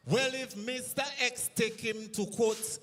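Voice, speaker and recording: A man performing calypso live on stage, telling a story in a slow, measured delivery. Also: extremely high pitch, steady tone, a bit bright, faint music behind. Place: outdoor stadium stage. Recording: reverberant live stadium sound.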